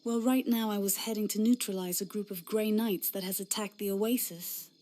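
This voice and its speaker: A woman acts a young female rookie soldier in a fantasy game. Her voice is mellow and mid-pitched, earnest and respectful toward her superiors. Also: fairly even intonation, normal speed, close to an everyday voice. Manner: earnest, deferential. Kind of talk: dramatic video game cutscene acting.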